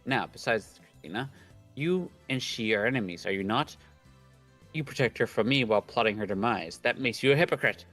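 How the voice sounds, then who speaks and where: A man's voice: medium pitch, animated, brisk, fairly bright tone, faint music behind. A man voicing a pompous, scheming young self-styled mad scientist from a visual novel, declaiming grandly. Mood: indignant, scheming. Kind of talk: reading visual novel dialogue aloud.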